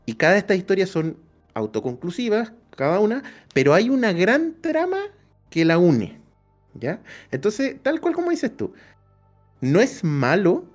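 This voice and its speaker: A man's voice: fairly high pitch, a little mellow. A man with a Chilean accent explaining things in casual conversation as a game master on a panel.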